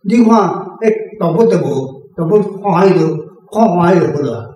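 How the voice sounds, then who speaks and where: An older man with a Taiwanese accent and a high-pitched voice talks in an informal, opinionated way. He repeats phrases to press his point. The sound is very reverberant and somewhat distant from the microphone. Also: lively intonation, moderate pace, dark tone, slight background noise. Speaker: author answering readers' questions. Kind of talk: informal explanatory discussion. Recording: very echoey room, distant microphone.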